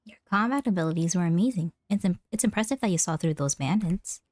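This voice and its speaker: A woman's voice: fairly low pitch, fast, darkish tone, no room sound. A woman streaming a video game, casually commenting and reading dialogue aloud on a close mic. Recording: dry, faint game music behind.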